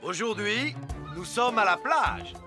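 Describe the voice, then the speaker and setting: A man's voice: high-pitched, animated, slow pace, music in the background. A man voicing an eager, clumsy, overconfident adventurer in a French cartoon dub, cheerful and exclamatory.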